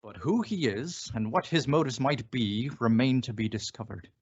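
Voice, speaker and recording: A man playing a bold, inquisitive gentleman detective in an old-style radio mystery, theatrical and self-assured. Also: mid-range voice, lively intonation, normal speed, husky. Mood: curious, composed. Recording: dull lo-fi radio play recording.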